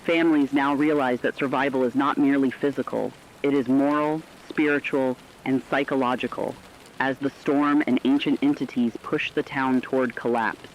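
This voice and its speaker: A man's voice: mid-range voice, steady tone, normal speed, a little hiss or hum, close to an everyday voice. Man as a foreboding radio reporter, dull lo-fi sound. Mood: solemn, foreboding. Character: solemn radio field reporter warning of terror. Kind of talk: scripted dramatic radio news narration.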